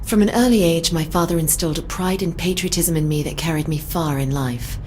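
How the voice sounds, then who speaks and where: An actress playing a proud, disciplined British secret agent, formal and composed, in a scripted game audio log. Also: female voice, deep voice, brisk, a little mellow, slight background noise, close to an everyday voice. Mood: composed, reflective.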